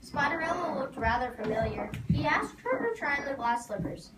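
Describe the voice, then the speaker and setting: A woman's voice: high voice, big pitch swings, normal speed, over loud music. A woman narrating a scripted storybook tale for a readers theater performance. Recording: noisy, echoey hall from far off.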